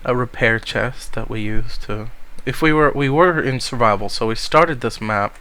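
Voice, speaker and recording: A man's voice: mid-range voice, moderate pace. Rambling young man with a cold. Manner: rambling, many ums, soft-spoken to spare his voice. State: has a cold. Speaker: gamer giving a server tour. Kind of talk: casual game tour commentary.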